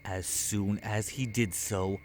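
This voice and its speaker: A man's voice: fairly low pitch, steady tone, slow, heavy background noise. A man narrating a scripted horror story as its storyteller.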